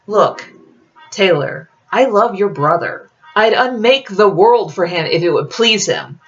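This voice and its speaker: A woman's voice: medium pitch, expressive, unhurried, voice pushed up. A woman voicing a male rogue in a fiction reading, flippant, exasperated and pleading in manner. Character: smooth-talking, pleading male rogue, notorious crime lord. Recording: dull lo-fi audio.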